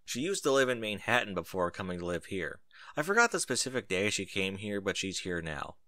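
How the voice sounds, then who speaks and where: An earnest storybook pony played by a man who knows it all and explains with emphasis. The voice is bright and dry, with lively swings in pitch. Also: fairly quick, no echo. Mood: earnest, advising. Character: earnest, know-it-all pony friend of an athlete.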